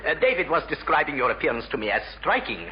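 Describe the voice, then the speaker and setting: A man acting a formal, courteous middle-aged gentleman in a 1950s British radio sitcom, speaking politely and carefully. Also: a little high, sing-song, fast. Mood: courteous, curious. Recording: muffled 1950s radio recording.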